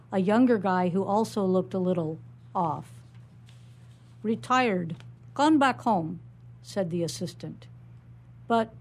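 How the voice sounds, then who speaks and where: A woman author reading her own first-person short story aloud. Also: medium pitch.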